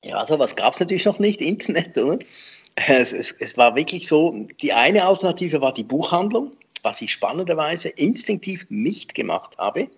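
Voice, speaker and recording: A man, a memory trainer telling lively, self-deprecating anecdotes in an interview and laughing often. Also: on the high side, moderate pace, husky. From Switzerland. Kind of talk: conversational storytelling interview.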